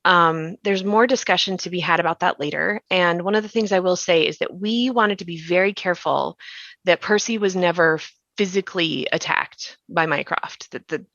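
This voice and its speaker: A woman's voice: lowish voice, moderate pace, fairly bright tone. A woman in a reflective conversation, explaining her thoughts carefully and repeating points for emphasis. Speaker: podcast creator and co-host. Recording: slightly muffled, roomy sound.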